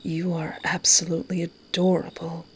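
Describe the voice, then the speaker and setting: A woman voicing a courtly, flirtatious male wish-granter from a visual novel, speaking softly and formally with a teasing edge. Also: lowish voice, level tone, moderate pace, a little hiss or hum, close to an everyday voice. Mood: tender teasing. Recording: music behind.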